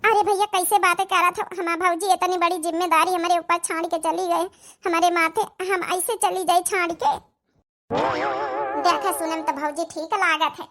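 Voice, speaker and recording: A woman's voice: very high voice, little pitch movement, moderate pace, a bit bright. A woman playing a blunt, dutiful young village woman in a cartoon drama, speaking forthrightly with an Awadhi accent. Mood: earnest, insistent. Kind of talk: cartoon comedy-drama dialogue in village dialect.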